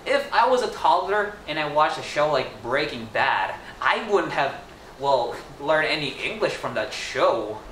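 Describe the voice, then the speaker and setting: A man's voice: a little high, measured pace. A teenage boy, Indonesian his first language, tells a story with lots of rise and fall in his voice. He sounds roomy and a little distant from the mic, with faint noise underneath. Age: teens. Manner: animated, gesturing, playful asides. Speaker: student storyteller. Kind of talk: storytelling speech to camera. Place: small indoor room with wallpaper.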